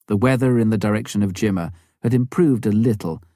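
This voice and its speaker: Low, mellow male story narrator. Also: fairly quick, no echo, clean studio sound. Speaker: audiobook reader.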